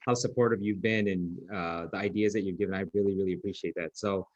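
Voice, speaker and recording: A man with a lowish voice hosts a friendly, easygoing interview, leaning on fillers. The sound is dry and dull, like a video call. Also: fairly even intonation, fast talker, no echo. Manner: friendly, casual, filler-heavy. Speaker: podcast host. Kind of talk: casual interview.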